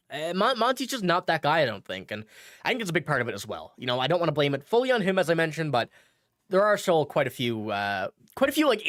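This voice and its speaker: A slightly nasal man, a bit under the weather, talks through a casual livestream and answers viewers' comments. His pitch sits a little high and swings a lot. The sound is dry and close, with no room echo. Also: fairly bright tone. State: a bit sick. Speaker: sports channel host.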